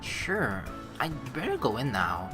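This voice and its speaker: A woman doing chatty, slangy let's play commentary and reading game story text aloud with expressive reactions. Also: rumbling low, slow pace, over music.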